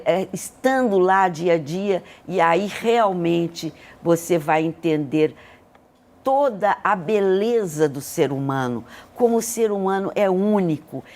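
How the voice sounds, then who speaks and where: An older Brazilian woman telling personal stories as an interview guest, speaking in an earnest, emotive way. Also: fairly low pitch. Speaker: interview guest, hospital leader. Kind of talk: reflective personal storytelling in an interview.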